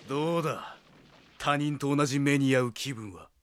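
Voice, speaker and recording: An actor playing a tough, taciturn teenage male delinquent hero in an anime audio drama, gruff, curt and unflappable. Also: medium pitch, normal speed, background noise, close to an everyday voice. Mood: cold, menacing.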